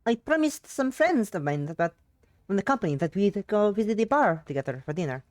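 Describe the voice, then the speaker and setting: A woman voicing a shy, quiet woman with a put-on Russian accent and hesitant, halting phrasing. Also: mid-range voice, big pitch swings, no room sound, clean studio sound, voice pushed up, close to an everyday voice. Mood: soft. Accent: attempted Russian. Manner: soft, hesitant, broken phrasing.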